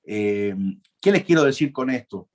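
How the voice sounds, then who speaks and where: A man's voice: medium pitch, animated, measured pace, darkish tone. A man from Argentina, giving a university law lecture in a conversational way, often asking the class questions, using frequent fillers and restarts.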